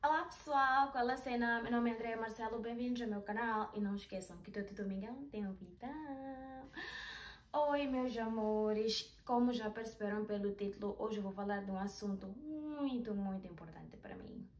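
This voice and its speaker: A woman tells a personal story in a chatty, animated way, filmed in a small bedroom with the camera some distance away. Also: a little high, normal speed. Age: 20s. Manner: chatty, animated, with hand gestures. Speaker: vlogger. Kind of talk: casual storytelling vlog. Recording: roomy, a little distant, faint hiss.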